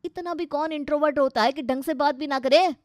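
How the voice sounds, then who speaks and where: A woman voicing a short-tempered young husband in a moral story, scolding loudly and sarcastically. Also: high-pitched, a little fast, dry recording, clean studio sound, voice pushed up, lightly acted. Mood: angry. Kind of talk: dramatised Hindi moral story.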